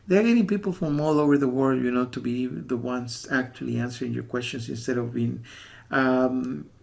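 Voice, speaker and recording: Guy from the usa doing a casual rambly review, gravelly fry in his voice, keeps repeating warnings insistently. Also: medium pitch, normal speed, darkish tone. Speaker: software reviewer.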